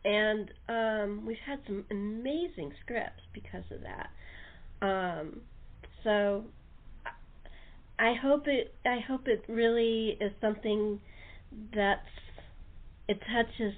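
A woman's voice: mid-range voice, takes it slowly, long pauses. A woman hosting an unscripted group chat, speaking in a rambling way and often restarting her own sentences. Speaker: talk show host. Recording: tinny narrow phone line.

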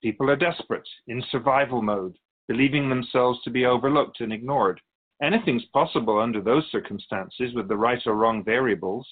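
A man playing a wise, wry otherworldly keeper of souls in a radio drama, speaking in a measured, musing, instructive way. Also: medium pitch, lightly acted. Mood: grave, cautioning. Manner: measured, didactic, musing pauses. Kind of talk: radio drama dialogue in interview form. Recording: dull, lo-fi sound.

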